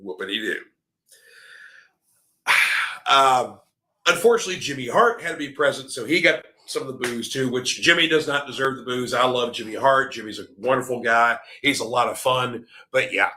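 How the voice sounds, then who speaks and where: Middle-aged male show host, rambling and opinionated, sharp bright tone, slightly scratchy throat. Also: medium pitch, moderate pace. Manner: rambling, opinionated, heavy on fillers. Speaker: wrestling podcast host. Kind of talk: live-streamed opinion commentary.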